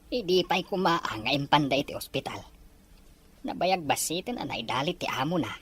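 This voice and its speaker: A woman's voice: sits low, animated, normal speed, a bit bright, husky, heavily acted. A woman voicing a sceptical, plain-spoken older husband and father in an audio drama. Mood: wry, musing. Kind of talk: Ilocano audio drama acting.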